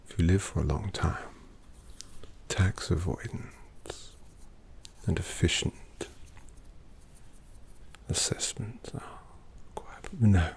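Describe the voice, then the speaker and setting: Refined vampire painter, courteous and predatory, a man doing it in a very deep, slow whisper. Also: heavily acted. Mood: hushed. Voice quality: soft whispery delivery with gravelly creak. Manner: polite, unhurried, quietly menacing. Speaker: ASMR roleplay creator. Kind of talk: whispered ASMR roleplay.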